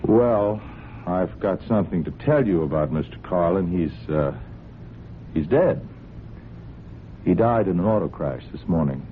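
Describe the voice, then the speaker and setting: A man's voice: low-pitched, expressive, measured pace, long pauses. An actor playing a folksy police sergeant in a radio drama, hesitant and apologetic as he politely probes with mild questions. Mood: apologetic, explaining. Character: folksy, polite but quietly probing male police sergeant. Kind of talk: old-time radio drama acting. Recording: muffled, hissy old radio drama recording.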